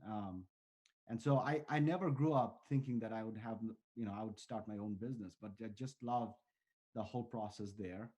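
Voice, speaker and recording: Male founder, forties, from Bangladesh; relaxed dark timbre, earnest conversational answers. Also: fairly low pitch, moderate pace. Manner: earnest, talks in long strings of restarts and fillers. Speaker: startup founder, interview guest.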